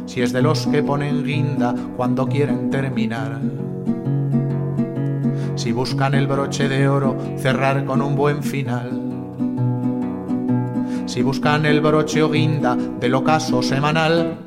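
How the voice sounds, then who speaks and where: Male singer for a radio theme, mid pitch, unhurried rhymed verses, prominent plucked guitar. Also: level tone. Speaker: singer of the show's theme tune. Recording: loud guitar music with the voice. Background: guitar music.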